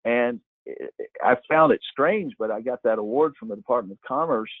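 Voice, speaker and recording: A middle-aged man with a husky voice giving an informal, conversational webinar talk as presenter, explaining things and reminiscing. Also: mid-range voice, moderate pace, no room sound. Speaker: webinar presenter, former broadcast meteorologist. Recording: muffled narrow phone-like line.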